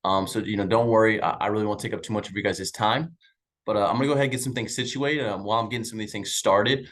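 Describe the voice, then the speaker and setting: A young man in his twenties works a sales call, rattling along at a quick clip with lots of um and uh. His voice is fairly low, his manner upbeat and chatty. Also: fairly even intonation, a bit bright. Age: 20s. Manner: upbeat, chatty, filler-heavy. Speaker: insurance sales agent. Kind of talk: sales call small talk. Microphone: video call.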